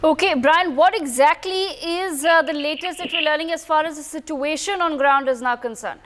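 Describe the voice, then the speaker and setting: A woman's voice: high-pitched, normal speed, fairly bright tone. A woman with an Indian accent presenting live television news as an anchor.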